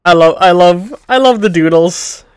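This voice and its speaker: A man's voice: high-pitched, a little slow, clean tone, no echo. A man hosting a game playthrough with chatty, self-deprecating asides, a bit congested from a cold. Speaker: let's play host. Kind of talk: casual gameplay commentary.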